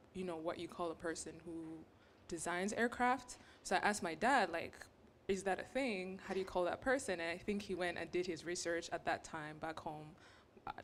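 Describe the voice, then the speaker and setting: Earnest young woman, lowish voice. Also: a little hiss or hum. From Rwanda. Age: young adult. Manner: animated, earnest storyteller. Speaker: panelist, graduate student. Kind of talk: personal storytelling on a panel.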